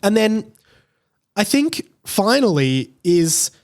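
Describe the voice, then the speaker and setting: A man's voice: sits high, sing-song, takes it slowly, a little mellow. A man hosting a casual solo podcast, talking in a rambling, conversational way and often checking in with "right?". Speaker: podcast host.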